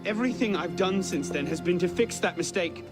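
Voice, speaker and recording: A man's voice: high voice, animated, brisk. An actor playing a brooding, guilt-ridden and defiant young man in a dramatic video game cutscene. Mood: anguished, remorseful. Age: young adult. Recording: busy crowd and loud game score. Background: orchestral music and crowd noise.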